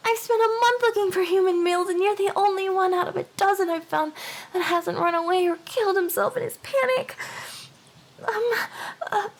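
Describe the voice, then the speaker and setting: Girl doing a hyper anime harpy, really high voice, lovesick and desperate, stammery, whispers sometimes, asmr roleplay. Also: moderate pace, vocal fry. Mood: pleading, frantic. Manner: earnest, pleading, stammering, breaking into whispers. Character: hyper, lovelorn young female harpy desperately courting a human.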